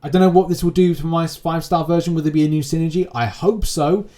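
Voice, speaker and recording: A man's voice: on the high side, fairly even intonation, quick pace, mellow. Guy doing off the cuff gaming news, kinda rambly, repeats himself, super informal. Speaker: gaming channel host. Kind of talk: casual unscripted gaming news commentary.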